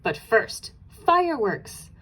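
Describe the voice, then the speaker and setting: A woman's voice: on the high side, very animated, slow, fairly bright tone, heavily acted. A woman voicing a brave, clever little girl in a storybook, speaking with urgent, persuasive delivery. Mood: bright, confident. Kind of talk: storybook character voice.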